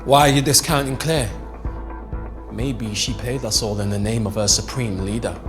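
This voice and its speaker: An actor playing a glib, cynical corporate schemer in film drama style. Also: male voice, medium pitch, normal speed, natural voice. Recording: prominent music behind the dialogue.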